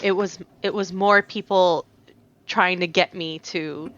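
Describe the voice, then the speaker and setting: A woman's voice: lowish voice, steady tone, takes it slowly, fairly bright tone, lo-fi sound, slight background noise. A young adult woman, a tatreez artist, chatting as a podcast guest, telling stories with lots of fillers and restarts and laughing often. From United States. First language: Arabic. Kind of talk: casual podcast interview.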